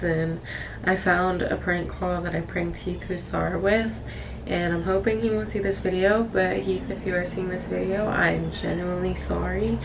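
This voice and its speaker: A young woman talks casually and candidly to her viewers, wandering from thought to thought. Her voice is creaky and fairly even in pitch. The recording has clearly audible noise. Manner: rambling, candid, apologetic. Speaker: vlogger. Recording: distant mic, clearly noisy room.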